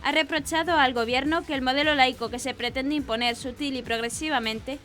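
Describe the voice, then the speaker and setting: Woman newsreader with a high voice. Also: steady tone, brisk, fairly bright tone, soft background music. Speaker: news presenter. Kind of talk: scripted news narration.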